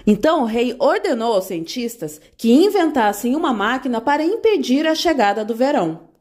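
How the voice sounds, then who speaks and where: A woman narrating a children's fairy tale in an expressive, animated way. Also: on the high side, moderate pace. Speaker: storyteller.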